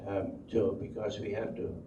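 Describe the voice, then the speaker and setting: An older man from Denmark with a Danish accent tells his life story unscripted, halting and repeating words as he rambles along. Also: fairly low pitch, level tone, warm tone. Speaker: speaker telling life story. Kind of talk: unscripted oral history storytelling. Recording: dull, roomy, distant microphone, faint noise.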